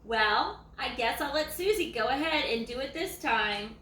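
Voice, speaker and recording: Woman reading as folksy old hound dog, high-voiced. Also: lively intonation, normal speed, bright, forceful tone. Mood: smug, folksy. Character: lazy, self-assured old male ranch hound dog. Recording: echoey room, faint background noise.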